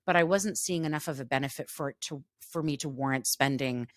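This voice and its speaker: A woman's voice: rumbling low, brisk, no room sound, studio quality. A woman co-hosting a casual podcast chat, talking openly with lots of fillers and restarts. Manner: chatty, frequent fillers and restarts. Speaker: podcast co-host.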